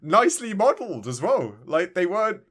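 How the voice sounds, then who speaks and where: A man's voice: high-pitched, sing-song, brisk, a bit bright. A man co-hosting a podcast, chatting casually about video games in an enthusiastic, rambling way. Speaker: podcast co-host. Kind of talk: casual video game discussion.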